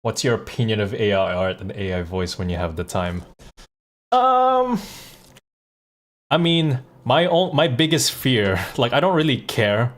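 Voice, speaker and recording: A man's voice: mid-range voice, very animated, unhurried. A man chatting casually on a livestream, blunt and conversational, with some swearing. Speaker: live streamer and voice actor. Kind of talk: casual livestream chat and reactions.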